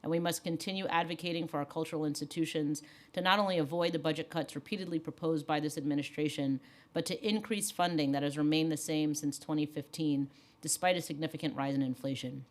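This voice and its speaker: A woman with a very low voice reads a prepared opening statement at a council hearing. Her delivery is steady and formal, with the cadence of public advocacy. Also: quick pace. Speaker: council member.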